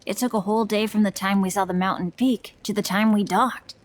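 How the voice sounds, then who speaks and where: A woman's voice: medium pitch, fairly even intonation, fairly quick, close to an everyday voice. A woman voicing a bookish, polite teenage boy novelist in a video game, speaking formally and eloquently. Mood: recounting.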